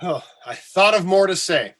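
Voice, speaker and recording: A man playing an awkward, sarcastic radio colleague with a husky voice and halting delivery in a comedy radio drama. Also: high voice, expressive, a bit bright. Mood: indignant, awkward. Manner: halting, hesitant, stammering.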